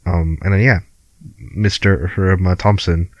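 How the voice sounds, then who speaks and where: A man's voice: low-pitched, steady tone, measured pace, dry recording, highs cut off. A young man with a Filipino accent co-hosting a podcast over a remote call, laid-back and laughing often as he swears casually. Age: young adult. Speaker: podcast co-host. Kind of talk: casual chat.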